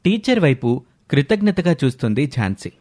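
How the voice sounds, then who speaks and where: A man's voice: a little high, big pitch swings, moderate pace, darkish tone. A man narrating a scripted moral story.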